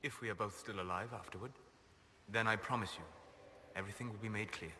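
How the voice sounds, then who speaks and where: A man playing a reserved, solemn elven mage in a fantasy game, speaking formally and with measured restraint. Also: lowish voice, pushed and bright, long reverb tail, a little hiss or hum. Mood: guarded, firm. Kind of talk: dramatic fantasy game dialogue.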